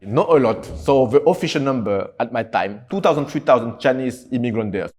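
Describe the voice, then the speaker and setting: A man's voice: medium pitch, lively intonation, a little fast, darkish tone, husky. A man in his thirties with a French accent, a native Cantonese and French speaker, talking casually as an interview guest. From French Guiana. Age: 30s. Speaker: podcast guest, entrepreneur.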